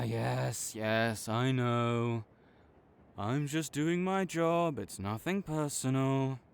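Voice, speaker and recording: Man acting a grudging, animated supervillain rival. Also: on the high side. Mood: exasperated confession. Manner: tsundere, grudging, exasperated, stammering when sincere. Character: proud, flustered male supervillain with a secret soft spot. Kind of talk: dramatic audio roleplay addressed to the listener.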